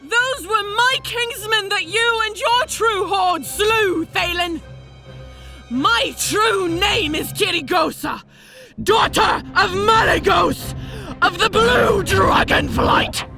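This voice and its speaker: High, cunning dragon sorceress in disguise. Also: female voice, slow pace, creaky, over music, full character voice. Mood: outraged. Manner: theatrical, composed, formally articulate. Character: female blue dragon disguised as a cunning, vengeful orc centurion mage. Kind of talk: dramatic audio drama acting.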